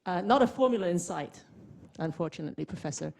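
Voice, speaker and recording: A woman's voice: on the low side, lively intonation, fast, gravelly, a little mellow. A woman in her forties, from Zimbabwe, giving a chatty, self-deprecating talk on a panel with many fillers. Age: 40s. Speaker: panel speaker. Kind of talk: informal presentation.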